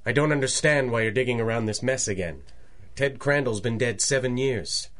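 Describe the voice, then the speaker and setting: A man's voice: medium pitch, moderate pace, fairly bright tone, soft background music, close to an everyday voice. An actor playing an evasive, defensive businessman in a radio drama, guarded in manner.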